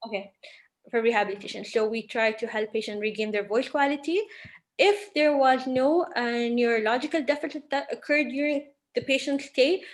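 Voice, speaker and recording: A woman's voice: on the high side, fairly even intonation, normal speed. Earnest woman speech therapist presenting. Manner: earnest, with frequent fillers and restarts. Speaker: speech language pathologist. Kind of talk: webinar presentation. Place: online webinar from home or office.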